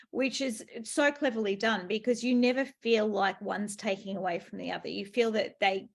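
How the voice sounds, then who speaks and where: Effusive woman host with creaky voice. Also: medium pitch. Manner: effusive, emphatic. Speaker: podcast host interviewing an author. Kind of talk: conversational interview.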